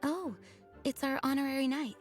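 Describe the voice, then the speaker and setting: Overworked female knight leader voiced by an actress, fairly high, courteous but weary, video game with faint music. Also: normal speed, natural voice. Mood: weary, formal. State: worn out, coughing. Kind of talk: anime video game voice acting.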